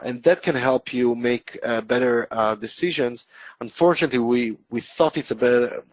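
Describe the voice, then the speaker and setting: A man's voice: medium pitch, no room sound, low-quality recording. Israeli man explaining on a dry webinar line. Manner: hesitant, many fillers and restarts. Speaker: guest presenter.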